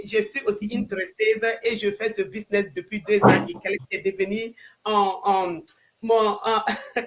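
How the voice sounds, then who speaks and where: Bright-voiced woman hosting live. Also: medium pitch. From Burkina Faso. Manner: hesitant, repeats and restarts phrases. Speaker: livestream host. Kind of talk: live online group chat.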